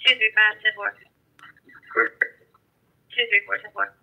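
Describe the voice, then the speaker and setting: High, piercing male dispatcher on dull radio. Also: level tone, a little slow, vocal fry. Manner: clipped, procedural. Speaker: police dispatcher. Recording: dull lo-fi police scanner radio.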